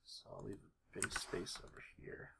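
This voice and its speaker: A man giving a casual screen-recorded walkthrough, thinking aloud in a low-key, halting mutter. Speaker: video creator. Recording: faint noise with keyboard clicks. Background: computer keyboard typing and mouse clicks.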